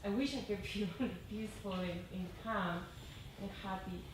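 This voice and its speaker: A woman's voice: medium pitch, fairly even intonation. Distant, very echoey woman sharing hesitantly. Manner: hesitant, halting. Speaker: meditation group participant. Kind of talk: personal sharing in group discussion. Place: group meditation room, far from microphone. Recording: echoey, distant microphone, noisy background.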